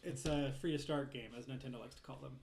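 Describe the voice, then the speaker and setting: A man's voice: mid-range voice, steady tone, fast talker, vocal fry, roomy sound, crowd noise. A man co-hosting a gaming podcast, talking casually through the news with the other hosts. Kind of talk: casual panel chat about game news.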